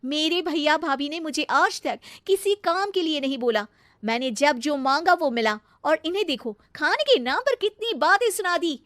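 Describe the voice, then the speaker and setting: A haughty young model wife acted by a woman in an animated moral story. Her voice is very high and dry, snappish and indignant, sprinkled with English phrases. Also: moderate pace, dry recording. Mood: huffy. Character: haughty young fashion model daughter-in-law, spoiled and snappish. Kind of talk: animated storybook character acting.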